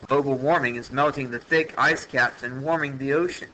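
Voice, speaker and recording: Bright-voiced man reading a lesson aloud. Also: medium pitch, fairly even intonation, moderate pace, slight background noise, low-quality recording. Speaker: teacher reading a textbook aloud. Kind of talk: educational read-aloud narration.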